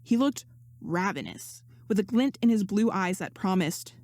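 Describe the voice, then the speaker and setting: A woman reading fan fiction aloud as narrator, in an expressive audiobook style. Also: medium pitch, normal speed, no room sound, studio quality. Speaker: podfic narrator.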